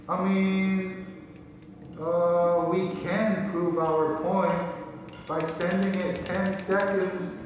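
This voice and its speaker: A man plays a folksy amateur inventor from a 1940s radio drama. His voice is fairly high and he takes his time, musing with halting wonder. The sound is distant, in a reverberant hall with noise behind it. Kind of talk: 1940s radio play acting. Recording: echoey hall, distant mic, noisy.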